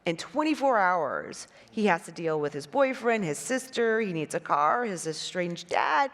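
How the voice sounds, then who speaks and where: A woman on a judging panel asking a thoughtful, appreciative question about a pitch. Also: big pitch swings, unhurried, long reverb tail. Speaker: judge on a panel.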